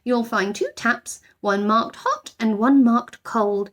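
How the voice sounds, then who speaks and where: A woman's voice: a little high, takes it slowly, a bit bright, voice pushed up. A woman voicing a kind, chatty young girl in a children's storybook, eager, welcoming and helpful. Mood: kindly, eager.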